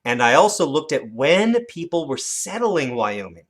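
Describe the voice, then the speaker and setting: Animated teacher with a very lively pitch. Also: unhurried. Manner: animated, expressive storytelling emphasis. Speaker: elementary teacher. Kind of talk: instructional video lesson for children.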